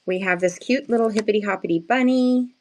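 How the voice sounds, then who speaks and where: A woman with a slightly hoarse, sleepy morning voice at a medium pitch hosts a casual live craft sale. She chats directly to viewers in playful, slangy words. Her manner is self-deprecating and informal. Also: brisk, a little mellow. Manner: chatty, greets and thanks viewers often. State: just woken up, sleepy.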